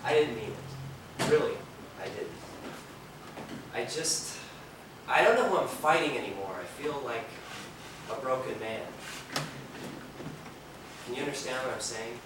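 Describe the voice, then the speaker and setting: A weary, brooding musician played by a male stage actor, guarded and earnest in his delivery. He sounds distant in a very echoey hall, with clear background noise. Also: mid-range voice, animated, unhurried, long pauses, lightly acted. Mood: broken, pleading. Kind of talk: stage play acting. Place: theater stage, far microphone.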